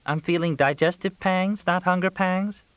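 A man's voice: mid-range voice, expressive, moderate pace, fairly bright tone, no room sound, lo-fi sound, voice pushed up, close to an everyday voice. A man voicing a plaintive, needy, hungry woman in audiobook dialogue.

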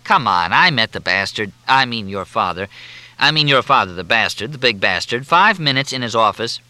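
A man voicing a cool male newspaperman who wisecracks in a dry, flippant, deliberate drawl. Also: medium pitch, expressive, bright, forceful tone, dry recording.

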